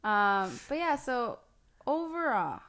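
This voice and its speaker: Playful woman with a smooth voice. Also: a little high, sing-song, slow, fairly bright tone. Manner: playful, teasing, laughs easily. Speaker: podcast co-host. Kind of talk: casual chat.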